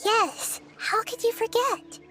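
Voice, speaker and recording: A woman voicing a small, childlike nature goddess in a video game, kind and thoughtful, with a gentle, curious manner. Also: very high-pitched, slow pace, low-quality recording. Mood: curious, puzzled. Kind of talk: video game character acting. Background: music.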